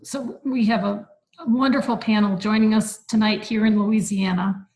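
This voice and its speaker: A woman moderating a webinar, relaxed and filling pauses with ums and uhs. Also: medium pitch. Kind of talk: casual moderating.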